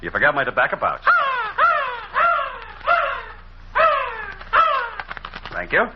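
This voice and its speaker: Charming American leading man, male actor, suave, intimate, very lively mid-range voice, old radio drama, muffled sound. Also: mid-range voice, racing, very noisy, close to an everyday voice. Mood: playful. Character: charming, smitten gentleman laid up as an invalid.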